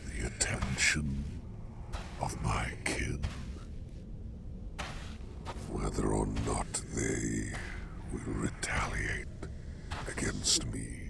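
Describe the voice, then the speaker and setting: A man playing a solemn, protective sleep demon in an ASMR roleplay, speaking formally and deliberately in a gravelly, breathy half-whisper. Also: a bass voice, noisy background, exaggerated voice. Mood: pensive. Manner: formal, archaic, slow deliberate phrasing.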